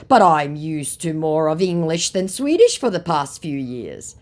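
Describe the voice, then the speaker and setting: A woman voicing a smooth-talking male romance scammer with flattering, broken phrasing. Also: low voice, a little slow, pitched above the performer's own voice.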